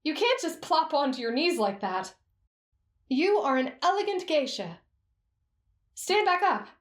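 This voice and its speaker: A woman's voice: high-pitched, sing-song, measured pace. A woman in an ASMR roleplay, playing a strict etiquette teacher. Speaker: voice actor. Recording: roomy echo.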